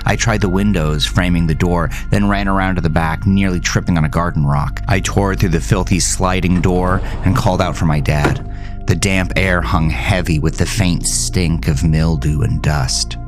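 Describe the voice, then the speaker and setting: A man's voice: sits low, steady tone, normal speed. A man narrating a first-person horror audio drama in a somber, literary storytelling manner. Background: music.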